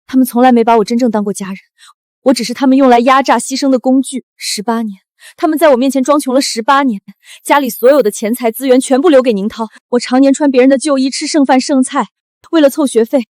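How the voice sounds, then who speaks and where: High-voiced, wronged young woman played by an actress. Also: fast, darkish tone, dry recording, studio quality, natural voice. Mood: bitter. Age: young adult. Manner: earnest, aggrieved, declamatory. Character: wronged, resilient young top student cast out by family. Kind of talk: short-drama acting.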